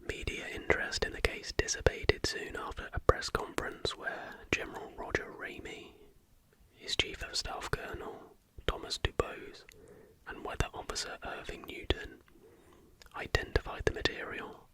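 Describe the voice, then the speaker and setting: ASMR narrator needed: fully whispered, breathy delivery of scripted factual material in short measured phrases. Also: rough recording. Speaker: ASMR video host.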